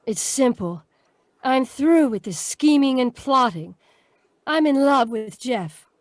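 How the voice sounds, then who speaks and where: A woman's voice: high voice, animated, slow, no room sound, clean studio sound. A woman playing an earnest, lovestruck and remorseful young woman in an old-time radio melodrama. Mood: earnest, resolute.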